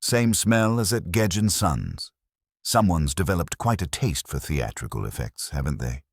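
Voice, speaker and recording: A man's voice: big pitch swings, moderate pace, husky, no room sound, studio quality, full character voice. A man voicing a conspiratorial, gossipy tea-room woman in a storybook mystery. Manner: confiding, lowered voice.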